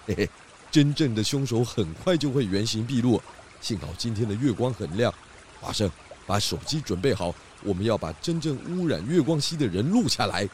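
An actor playing a calm, clever male pig detective in a children's audio drama. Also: mid-range voice, moderate pace, background noise, lightly acted. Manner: steady, knowing.

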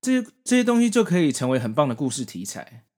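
Taiwanese man hosting a podcast, lively pitch. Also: fast talker. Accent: Taiwanese Mandarin. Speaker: podcast host. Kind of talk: semi-scripted podcast narration introducing comics.

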